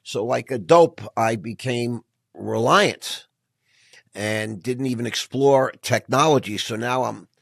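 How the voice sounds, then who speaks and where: A middle-aged man hosting an interview podcast, chatting casually in an animated, edgy, restless way. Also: medium pitch, slow pace, creaky, no echo, studio quality. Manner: animated, self-described anxious and edgy talker. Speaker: podcast host.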